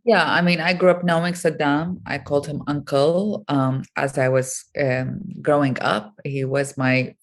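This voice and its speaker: A middle-aged woman from Iraq, a guest on an interview, speaking thoughtfully with many pauses and fillers. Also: sits low, level tone. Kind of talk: reflective interview talk.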